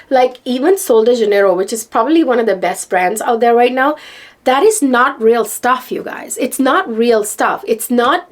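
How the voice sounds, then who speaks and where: A middle-aged woman from Sri Lanka, talking excitedly to camera in a rambling, repetitive way. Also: on the high side. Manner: excitable, rambling, repeats words. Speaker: vlogger. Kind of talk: casual chat to camera.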